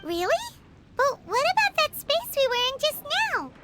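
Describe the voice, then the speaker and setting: A woman voicing a tiny, excitable childlike fairy companion in a video game, with a squeaky voice. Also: normal speed, loud music behind the voice. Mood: curious. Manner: excitable, refers to herself in third person. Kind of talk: English video game voice acting.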